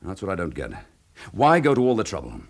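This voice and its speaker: An actor playing a dogged, frustrated police chief inspector in a radio drama, with a brisk, probing, forceful manner. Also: male voice, deep voice, wildly swooping pitch, full character voice.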